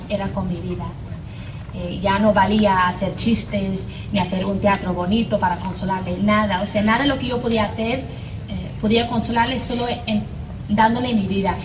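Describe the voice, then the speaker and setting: Irish-accented woman, echoey and distant. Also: fairly high pitch. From Northern Ireland. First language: English. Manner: humorous, self-mocking storytelling with many fillers. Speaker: religious sister. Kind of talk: personal faith testimony. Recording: distant mic in an echoey noisy room.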